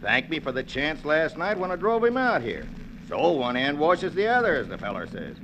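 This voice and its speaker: A persuasive, folksy real estate salesman in a 1940s radio drama. His mid-pitched voice rises and falls in a lively way. A faint engine hum sits under the old recording. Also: normal speed.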